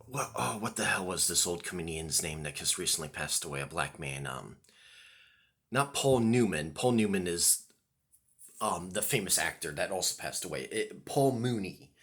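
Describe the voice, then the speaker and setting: A man's voice: sits low, animated, normal speed, a bit bright, breathy edge. A man, talking to the camera in a loose, rambling monologue, full of ums and restarts. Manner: hesitant, many ums and restarts. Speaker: vlogger.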